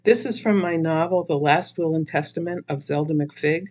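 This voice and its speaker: A woman's voice: low-pitched, normal speed, a little mellow. A woman author narrating her own humorous story dramatically, with theatrical expression.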